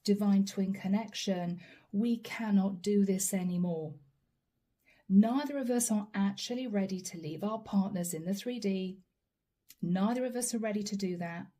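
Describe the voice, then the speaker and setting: A woman doing a channeled tarot reading straight to the viewer, earnest and apologetic, her voice going hoarse. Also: mid-range voice, normal speed, dark tone. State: losing her voice. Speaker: tarot reader.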